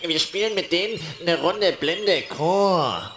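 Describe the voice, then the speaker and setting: A small, curious computer virus in a children's radio drama. It is mischievous and unhurried, in an echoey space with music behind. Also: medium pitch, animated, a bit bright. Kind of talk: German children's radio play.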